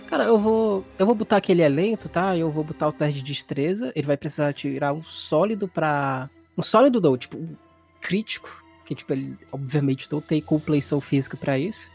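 A man's voice: fairly high pitch, a little fast, creaky, a little mellow, slightly rough, soft background music. A man with a Brazilian accent narrating a tabletop role-playing game as game master, colloquial and explanatory.

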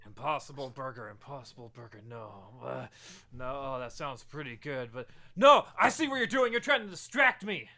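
A man's voice: medium pitch, expressive, moderate pace, vocal fry, sharp tone, exaggerated voice. A man playing a pushy bank robber. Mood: suspicious. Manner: bullying, then needy. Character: desperate male bank robber waving a hot dog.

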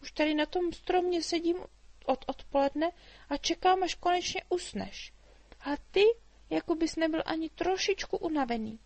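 A woman voicing a small, chatty human girl who talks in eager, high-pitched chatter, in a storybook reading. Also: darkish tone, no room sound.

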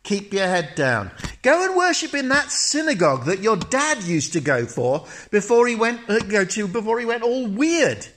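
A man's voice: sits high, sing-song, moderate pace, few pauses, a bit bright, slight background noise, voice pushed up. Man doing a scornful young Roman friend. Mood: warning. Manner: urgent, mocking, lecturing.

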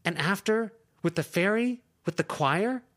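Lucy, as a man puts her on, an intense teenage girl in a storybook reading, pitched high above a man's usual voice and swinging widely as she lectures and pushes. She sounds tightly wound and protective, earnest to the point of strain. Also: animated, unhurried. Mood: upset, raised. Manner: intense, lecturing, rising to shouts. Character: stern, anxious teenage girl, protective friend. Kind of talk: audiobook character reading.

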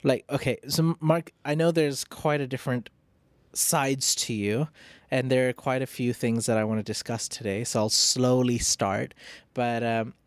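A man's voice: mid-range voice, steady tone, dry recording. A man hosting a podcast, asking questions in a friendly, casual way with lots of backchannel replies. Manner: friendly, backchannels often, some halting phrasing. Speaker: podcast host. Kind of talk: podcast intro and casual interviewing.

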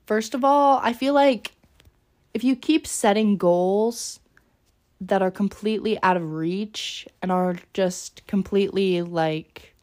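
A young woman hosting a solo podcast in a casual, rambling way, her voice a little raspy from being sick. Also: mid-range voice, takes it slowly, a little mellow. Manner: chatty, rambling, with many fillers. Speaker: podcast host.

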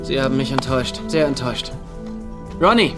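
An actor in a German-dubbed TV comedy playing a cocky, theatrical psychic who teases and chatters. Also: male voice, medium pitch, brisk, lightly acted. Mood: cocky, playful. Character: flamboyant, cocky man playing a psychic at poker. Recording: music behind the voice.

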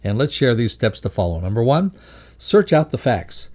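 A man reading scripted educational narration in a measured, instructive way. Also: medium pitch, big pitch swings, a little mellow. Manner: measured, instructive read-aloud delivery. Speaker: reader of a values lesson.

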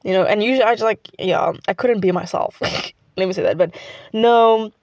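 A woman's voice: mid-range voice, moderate pace, darkish tone, dry recording, lo-fi sound. A teenage girl from South Africa with a South African accent, Xhosa her first language, chatting casually in a rambling vlog full of "like". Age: teens. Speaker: student vlogger.

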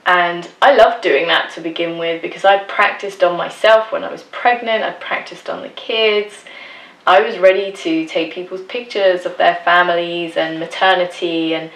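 A woman's voice: lowish voice, fairly even intonation, moderate pace, fairly bright tone. An English woman telling her own story as a podcast guest, chatty and laughing often between phrases. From England. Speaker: guest, freelance photographer. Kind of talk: casual personal storytelling. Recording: roomy, distant mic.

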